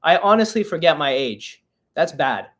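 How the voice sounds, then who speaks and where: Twenty-something guy rambling thru a live q&a, kinda high voice, pokes fun at himself a lot. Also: very animated, normal speed, a bit bright. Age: 20s. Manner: chatty and self-mocking, reading chat aloud. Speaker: podcast host. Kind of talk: casual live-stream Q&A.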